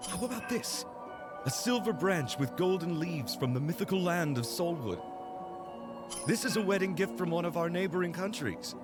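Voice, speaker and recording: A man's voice: high-pitched, lively intonation, normal speed, music in the background. A man voicing a proud, possessive royal fiancé in a game cutscene, speaking formally. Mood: commanding. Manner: formal, stern and commanding.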